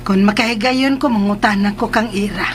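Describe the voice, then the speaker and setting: An actress playing a patient, caring middle-aged mother in a radio drama, with a gentle, unhurried manner and a Filipino accent. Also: medium pitch, a little hiss or hum, close to an everyday voice. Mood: concerned, curious. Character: patient, caring mother who cooks for family.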